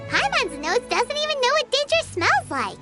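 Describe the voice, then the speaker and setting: Squeaky little fairy girl sidekick, bouncy sing-song voice, loud game music behind. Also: sing-song, moderate pace. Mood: curious. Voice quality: high, squeaky, bubbly. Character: tiny floating female fairy companion, chirpy. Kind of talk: video game voice acting.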